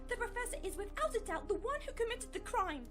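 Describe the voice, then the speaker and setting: A woman voicing a frightened, desperate young lady in an animated fan drama, pleading melodramatically. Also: extremely high pitch, quick pace, faint music behind, full character voice. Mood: desperate, accusing.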